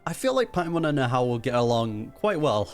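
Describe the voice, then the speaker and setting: Woman, extremely low pitch, animated, slangy casual gaming commentary, teasing, game music behind. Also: normal speed. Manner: laughs often, teasing, slangy. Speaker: gaming streamer reacting to a story quest.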